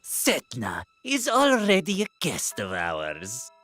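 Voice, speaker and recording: A woman's voice: rumbling low, huge pitch swings, slow, a bit bright, faint music behind, full character voice. A woman voicing a sly, cruel villain in a video game, smug and menacing. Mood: smug menace. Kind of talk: video game cutscene acting.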